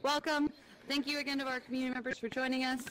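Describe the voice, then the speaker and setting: Woman host, higher voice, upbeat, headset mic, faint hiss. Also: flat delivery, fast, fairly bright tone, rough recording. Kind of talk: hosting a stream.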